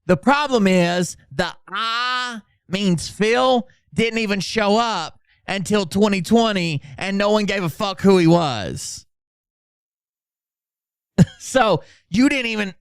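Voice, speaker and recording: A man's voice: high-pitched, expressive, slow pace, creaky, clean tone, dry recording, studio quality. A man giving casual, mocking commentary as a channel host, sarcastic and given to swearing. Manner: sarcastic, profane.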